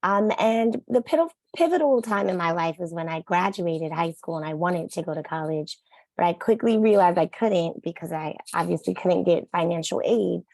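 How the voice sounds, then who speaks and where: Woman from nigeria, lowish voice with some fry, dry close sound, upbeat. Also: moderate pace, darkish tone, no room sound, poor audio. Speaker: panelist, floral business owner. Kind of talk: panel introduction.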